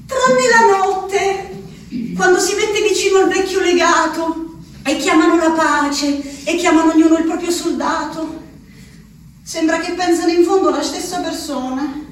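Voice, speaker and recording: A very high, pleading female voice with a rustic Abruzzese accent. She is a tormented country wife confined to an asylum, speaking her letter as a halting stage monologue. The sound is strongly reverberant and a little distant, with faint noise. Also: fairly even intonation. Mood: troubled, agitated. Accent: central-southern Italian, Abruzzese. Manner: rustic, halting, tagging phrases with "eh". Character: anguished peasant wife shut in an asylum, pleading. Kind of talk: theatrical monologue, letter spoken aloud.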